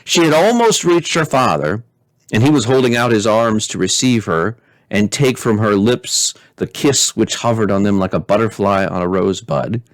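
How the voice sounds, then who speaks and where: A man's voice: mid-range voice, no echo, poor audio. Dry-sounding man reading a fairy tale. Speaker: pastor reading stories aloud. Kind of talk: expressive fairy-tale narration.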